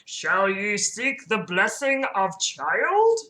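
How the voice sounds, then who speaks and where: Woman doing a slow, solemn ancient tree spirit. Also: mid-range voice, wildly swooping pitch, pushed and bright, exaggerated voice. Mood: solemn, grand. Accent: Southern US. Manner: slow, ceremonious, archaic speech. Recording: roomy, echoing indoor sound.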